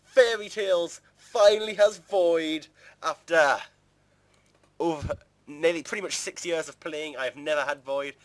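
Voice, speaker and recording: A man's voice: a little high, unhurried, dry recording. A man giving casual, chatty gameplay commentary in an informal, rambling way, as a video creator would.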